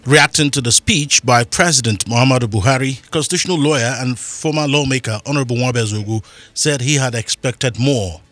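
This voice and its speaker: A male Nigerian news presenter reads from a script in a mid-pitched voice. The sound is dry, with very little room around it. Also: a little fast, fairly bright tone, no room sound.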